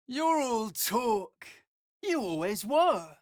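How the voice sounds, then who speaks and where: A haughty female vampire spawn from a video game, taunting with wide swings of pitch. Her disdainful phrases are drawn out and recorded close and dry. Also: big pitch swings, very slow, a little mellow, no room sound, exaggerated voice. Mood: scornful. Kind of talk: dramatic video game acting.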